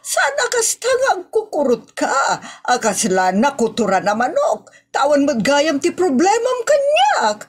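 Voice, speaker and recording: Brief: actress as teasing, bossy wife, Ilocano accent, swooping pitch, slow delivery, comedy radio play. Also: female voice, huge pitch swings, exaggerated voice. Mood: teasing, indignant. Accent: Ilocano Filipino. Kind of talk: Ilocano comedy audio drama acting.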